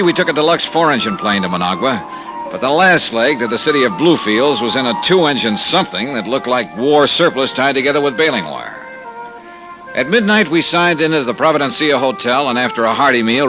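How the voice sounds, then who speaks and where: An actor playing a wry, self-assured American investigator in a 1950s radio detective drama, with a clipped hard-boiled delivery. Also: male voice, mid-range voice, lively intonation, brisk, over music, natural voice. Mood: wry narrating.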